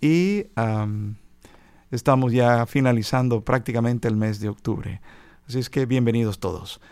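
A man's voice: medium pitch, unhurried, a little mellow, no room sound. A man hosting a radio program, welcoming listeners and a guest in a friendly, rambling way with some fillers. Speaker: radio host. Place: radio studio.

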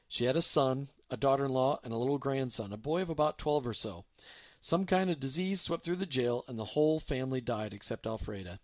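A man voicing a calm, sensible mother relaying a sad story. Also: medium pitch, no room sound, close to an everyday voice. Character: sensible middle-aged mother, gentle.

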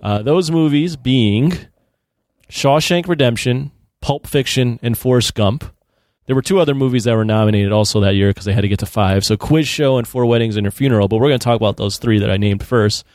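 A man's voice: medium pitch, brisk, darkish tone, no room sound, studio quality. A man in his thirties co-hosting a podcast, talking casually about films. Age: 30s. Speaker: podcast co-host. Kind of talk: casual film discussion.

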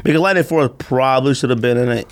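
A man's voice: medium pitch, fast. Man bantering as a podcast host. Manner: teasing, opinionated. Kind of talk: casual sneaker podcast banter.

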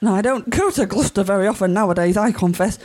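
An actress playing a sharp-tongued cockney woman from wartime London who has become a spy, sarcastic and loud. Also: medium pitch, fast talker, lightly acted. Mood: exasperated.

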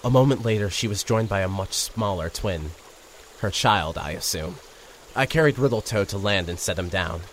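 A man's voice: on the low side, lively intonation, moderate pace, fairly bright tone, slight background noise. A man playing a young reporter who narrates an audio drama in a wry, conversational way.

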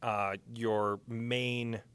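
A man's voice: medium pitch, level tone, drawn-out words, fairly bright tone, clear, resonant tone, no echo. A man hosting a podcast, conducting a casual live interview in an informal, joking way.

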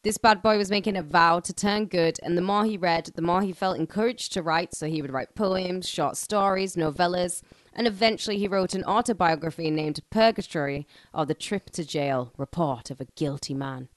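The woman you'd hear hosting a true-crime podcast from a dry, padded little recording space, voice on the lower side, mixing prepared storytelling with cheeky slang. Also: normal speed, no echo. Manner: irreverent, joking, slangy. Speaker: podcast host. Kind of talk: scripted true-crime narration with casual banter.

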